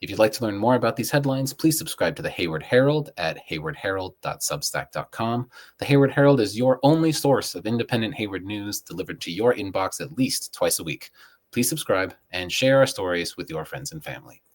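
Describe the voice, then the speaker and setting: Man, low voice, American accent, podcast co-host, scripted local news delivery. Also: expressive, brisk. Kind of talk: scripted news reading with light chat.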